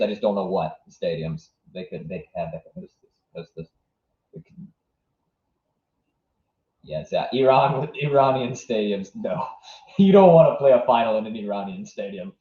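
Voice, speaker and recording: A man's voice: on the low side, a little mellow. A young American man from southwest Virginia reacting live to a match as a stream host, rambling casually and thinking aloud as he answers chat. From southwest Virginia, United States. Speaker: livestream host. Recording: dull, echoey room sound.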